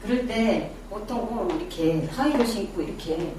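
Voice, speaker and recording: Chatty lecturer with a Daegu accent. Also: female voice, medium pitch, lively intonation, moderate pace. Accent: Daegu Gyeongsang dialect. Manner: chatty, quizzes the audience, self-deprecating asides. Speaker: image-making lecturer. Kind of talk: casual classroom lecture. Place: lecture room with a small audience. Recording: very echoey room, distant mic, background noise.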